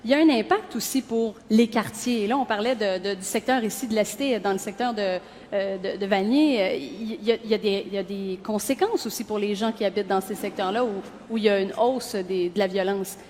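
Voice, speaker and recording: A woman moderating a public panel forum at a college, polite and brisk. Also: medium pitch, a little mellow. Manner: brisk, polite, fills in with short acknowledgements. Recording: reverberant hall, faint room noise.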